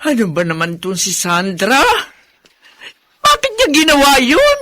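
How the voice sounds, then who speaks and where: Casting: anxious middle-aged mother and grandmother, radio drama, expressive and bright, recorded dry and close. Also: a little high, measured pace, no room sound, exaggerated voice. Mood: alarmed, worried. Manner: expressive, exclaiming, questioning. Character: worried, doting middle-aged mother and grandmother, easily alarmed. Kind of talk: Filipino radio melodrama acting.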